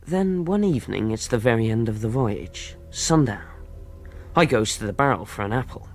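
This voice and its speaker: Earnest ship's boy, mid-pitched, youthful storytelling, radio drama, prominent music. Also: expressive, moderate pace, a little mellow. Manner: youthful, plain-spoken storytelling. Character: eager, naive ship's boy narrating his adventure. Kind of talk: radio drama acting and narration.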